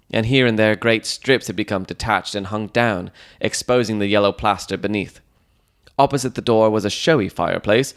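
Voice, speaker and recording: A man giving scripted, dramatic audiobook narration of a Victorian mystery in a measured, descriptive storytelling manner. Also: on the low side.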